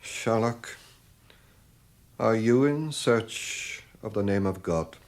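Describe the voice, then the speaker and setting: An actor playing an aging, stoic police detective in a radio drama, terse, probing and dryly formal. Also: male voice, lowish voice, slow pace, a little mellow. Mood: grave. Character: aging, stoic male police detective, cornered but curious. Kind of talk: 1980s radio drama acting.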